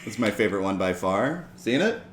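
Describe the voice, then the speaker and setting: Deep, lively-voiced laid-back man. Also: fairly quick, very noisy. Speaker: podcast guest, comedian. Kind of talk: casual chat. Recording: roomy room, shared mic.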